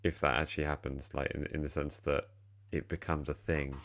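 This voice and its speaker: Tired-sounding deep-voiced man. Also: little pitch movement, a little fast, fairly bright tone, dry recording, clean studio sound. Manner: rambling, self-deprecating. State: tired, slightly deeper voice than usual. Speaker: podcast host. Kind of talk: casual football chat.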